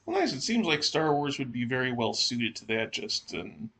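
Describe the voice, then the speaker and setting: A man co-hosting a podcast, chatting and asking questions in a halting, thinking-aloud way. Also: medium pitch, big pitch swings, pushed and bright, slightly rough. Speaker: podcast co-host.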